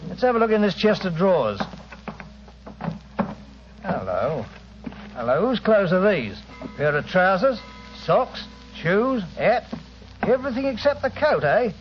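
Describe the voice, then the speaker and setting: An actor playing a brisk, sceptical police sergeant questioning people in an old-time radio drama. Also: male voice, high-pitched, big pitch swings, soft background music. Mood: suspicious, brisk. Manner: blunt, interrogating. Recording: muffled, hissy old radio recording.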